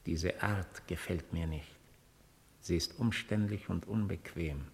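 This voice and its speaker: A man's voice: low-pitched, slow pace, vocal fry, slight background noise, full character voice. A man acting a stern, coldly pedantic Roman soldier in a 1950s German radio drama, clipped and matter-of-fact. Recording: reverberant 1950s radio studio recording.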